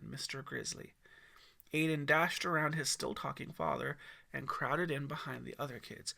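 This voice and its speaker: A man narrating a scripted story as an audiobook reader, in an expressive storytelling style. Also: mid-range voice, vocal fry.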